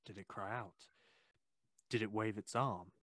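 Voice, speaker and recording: An actor playing a sceptical, rational Victorian gentleman in an audio drama, speaking in a formal, measured and insistent way. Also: lowish voice, fairly even intonation, no room sound. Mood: anxious curiosity. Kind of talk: period audio drama acting.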